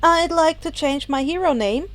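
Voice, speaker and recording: A woman's voice: high-pitched, moderate pace, a bit bright, dry recording, voice pushed up. A woman voicing an earnest, easily flustered teenage boy with a hesitant, protesting manner.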